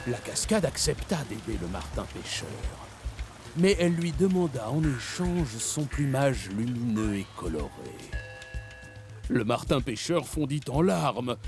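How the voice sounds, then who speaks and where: An actor playing a male storyteller in a cartoon, telling a fable in a gentle storybook manner. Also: medium pitch, very animated, unhurried. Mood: storytelling. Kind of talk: French cartoon dubbing. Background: cartoon music.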